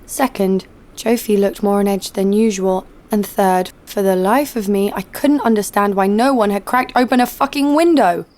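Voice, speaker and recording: A woman playing a jaded, sardonic killer who narrates her own story in a deadpan way for an audio drama. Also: mid-range voice, moderate pace, few pauses, close to an everyday voice. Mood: wry. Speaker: narrator and lead actor. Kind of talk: first-person scripted narration.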